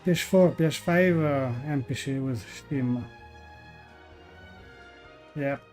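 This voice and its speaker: A man's voice: medium pitch, takes it slowly, darkish tone, soft background music. A man giving casual live-stream commentary on games, hesitant and searching for words in non-native English. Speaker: game streamer. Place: indoors, live stream over game music.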